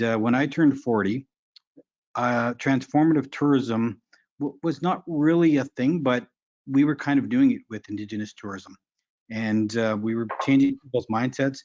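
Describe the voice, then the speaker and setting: A man in his forties talks earnestly at an online conference panel. He speaks frankly and stops often to restart his thoughts. His voice sits at an ordinary pitch, and the remote audio sounds dull and lo-fi. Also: moderate pace, darkish tone. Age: 40s.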